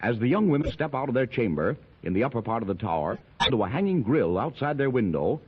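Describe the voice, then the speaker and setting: A man's voice: medium pitch, sing-song, quick pace, slightly rough. A man narrating an adventure radio serial in a declamatory, dramatic style. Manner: declamatory, rising with the action. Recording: hissy muffled 1930s radio transcription.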